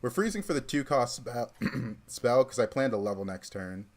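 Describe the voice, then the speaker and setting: A man's voice: medium pitch, big pitch swings, normal speed. A young man from Georgia streaming a card game, chatting casually and thinking aloud with lots of fillers. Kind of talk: casual livestream commentary.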